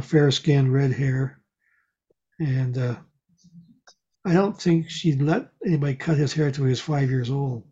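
A man chatting casually and telling personal stories in a wry, unhurried way. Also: medium pitch, warm tone, slightly rough. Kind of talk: casual chat, personal storytelling. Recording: dull, muffled lo-fi sound.

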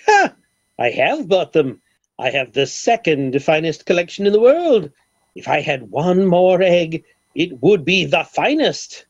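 Pompous, swooping eccentric millionaire. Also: male voice, fairly high pitch, very animated, unhurried, heavily acted. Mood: anguished obsession. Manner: theatrical, grandiloquent, flowery formal speech. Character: pompous eccentric millionaire, obsessed bird egg collector. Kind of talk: old-time radio comedy acting. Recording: dull old radio recording.

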